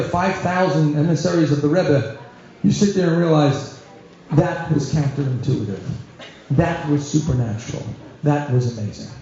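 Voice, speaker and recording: A man, a rabbi, telling stories to a congregation, acting out the dialogue with big swings in his voice. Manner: animated storyteller, acts out dialogue with shifting pitch. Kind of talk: storytelling talk to a congregation. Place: synagogue hall. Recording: very echoey hall, dull lo-fi sound.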